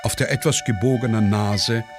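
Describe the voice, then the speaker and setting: A man narrating a radio play, reading stage directions in a measured, deliberate way. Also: on the low side, steady tone. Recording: dry studio sound with faint music.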